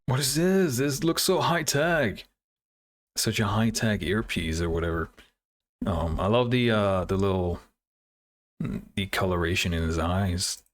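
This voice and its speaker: A man's voice: lowish voice, a little slow. A man giving casual, laid-back reaction commentary on game trailers, musing as he goes. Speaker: gaming video creator. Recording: music behind the voice. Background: game trailer music.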